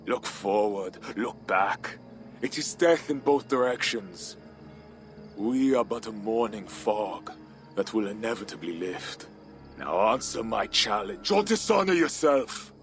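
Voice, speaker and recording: Brooding, slow-spoken Viking war chief. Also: male voice, fairly low pitch, lively intonation, soft background music. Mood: bitter, challenging, then fierce, defiant. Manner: grave, theatrical, archaic phrasing. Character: grief-worn, fatalistic Viking war chief, brooding and fierce. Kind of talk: dramatic video game acting.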